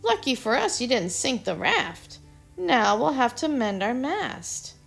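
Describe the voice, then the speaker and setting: Lady reading an excited little acorn boy, kinda bossy, not much of a voice change. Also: a little high, a little slow, vocal fry, husky, faint music behind, voice pushed up, exaggerated voice. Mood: cross. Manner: excited, sometimes cross.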